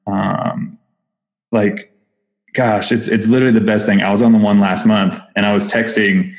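Chatty low-voiced Texan man. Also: steady tone, normal speed. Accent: Texas. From Texas. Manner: enthusiastic, chatty, frequent fillers and restarts. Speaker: nonprofit founder, podcast guest. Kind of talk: casual interview talk.

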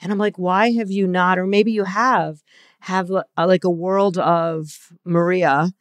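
A woman's voice: fairly low pitch, fairly even intonation, a little slow, dry recording. A woman hosting a podcast interview who chats openly and jokes about herself. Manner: joking, open, chatty. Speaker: podcast host. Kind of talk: casual interview chat.

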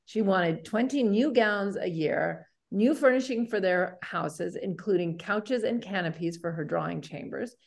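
A woman's voice: medium pitch, measured pace, vocal fry, few pauses. A woman co-hosting a podcast in an upbeat style of presenting and chat. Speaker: podcast co-host.

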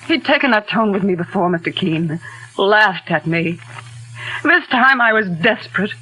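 A woman's voice: medium pitch, expressive, normal speed, pushed and bright, slightly rough, poor audio. An actress playing an anguished, sophisticated mother in a theatrical, intense 1940s radio drama style. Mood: desperate, reproachful. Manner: theatrical, intense, earnest delivery. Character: anguished, sophisticated society mother confessing to a shooting. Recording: old 1940s radio recording.

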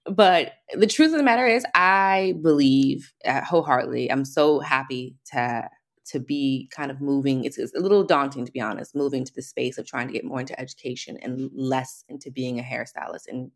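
A young American woman, a hairstylist, chatting as an interview guest, animated and self-assured, laughing often. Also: extremely low pitch, moderate pace. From United States. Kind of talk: casual interview chat.

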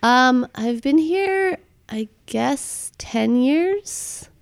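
A woman's voice: high-pitched, lively intonation, very slow, creaky. A young woman from Boston, a photographer, talking about her life in a chatty interview, often laughing and hedging with fillers. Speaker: guest photographer. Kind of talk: casual interview.

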